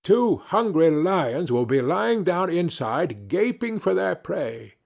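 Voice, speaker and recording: A man voicing a sly, commanding little ugly dwarf from a storybook, calling out in a deliberate, drawn-out way. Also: on the high side, sing-song, vocal fry, a little mellow, voice pushed up, heavily acted. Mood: helpful, instructing. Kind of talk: fairy-tale storybook character voice.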